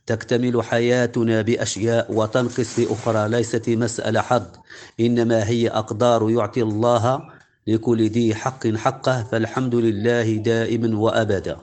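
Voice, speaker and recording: A man's voice: lowish voice, flat delivery, very fast, darkish tone, slight background noise. A Moroccan man in his forties, a Berber native speaker, introducing himself simply and then reciting a short text. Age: 40s.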